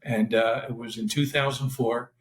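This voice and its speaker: A man's voice: medium pitch, fairly even intonation, normal speed. A man co-hosting a podcast, chatting casually and rambling with lots of fillers. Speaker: podcast co-host, former profiler. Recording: roomy echo.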